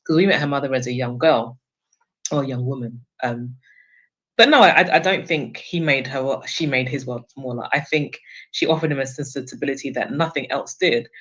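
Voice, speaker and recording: A woman talks at a very quick pace in a book club discussion. She is articulate and emphatic, building her argument point by point and often tagging it with a short question. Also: very deep voice, rough recording. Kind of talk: discussion, literary commentary.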